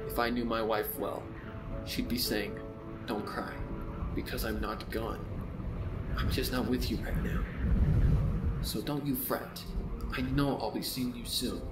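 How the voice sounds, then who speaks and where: Man acting a low-voiced grieving pony widower. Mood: wistful acceptance. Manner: solemn, theatrical, anguished delivery. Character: grieving male pony widower, sorrowful and reflective. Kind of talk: dramatic fan fiction narration over music.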